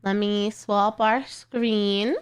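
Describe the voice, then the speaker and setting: A woman's voice: medium pitch, fairly even intonation, extremely slow, clean tone, soft background music. A young woman streamer from Texas, sounding congested and nasal, rambling casually to her live chat and correcting herself as she goes. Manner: rambling, self-correcting, chatty.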